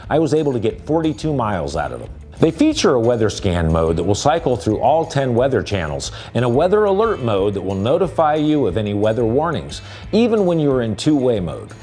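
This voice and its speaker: Male presenter for scripted gear review narration, lively inflection, music underneath. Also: medium pitch.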